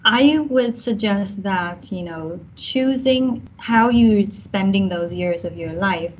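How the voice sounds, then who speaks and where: A woman from Taiwan in her late thirties, a marketing executive answering podcast questions in a measured, thoughtful way. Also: medium pitch, a little mellow. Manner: measured, thoughtful, uses corporate phrasing. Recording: dull lo-fi with faint noise.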